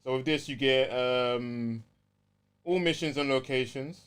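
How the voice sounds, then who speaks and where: A man's voice: mid-range voice, a little slow, fairly bright tone, clean tone. A man with a London accent chatting casually and easily as a gaming podcast co-host, using plenty of slang.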